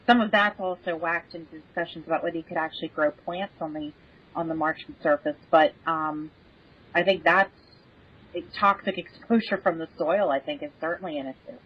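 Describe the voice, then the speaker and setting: Female expert guest, somewhat low voice, conversational explainer, dull recording. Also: fairly quick, husky. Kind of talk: casual explanatory discussion. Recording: dull lo-fi remote audio.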